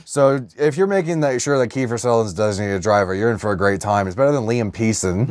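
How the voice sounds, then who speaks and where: A man's voice: lowish voice, fast, a little mellow, dry recording. A man co-hosting a podcast, chatting casually and comedically about films and comics with crude, sweary banter. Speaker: podcast co-host.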